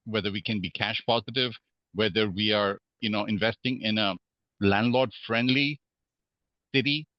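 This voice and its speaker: Podcast guest, man from Hong Kong, low voice, slightly dull sound. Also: dry recording. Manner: measured, many fillers and restarts. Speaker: guest real estate investor. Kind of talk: podcast interview.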